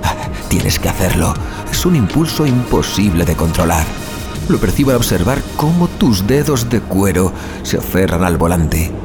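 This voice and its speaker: A man playing a doomed, morbidly obsessed passenger in a horror audio drama, delivering a slow, brooding literary monologue. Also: low voice, very animated, over music. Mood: morbid, resigned. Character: doomed male passenger, obsessed with his murderous chauffeur.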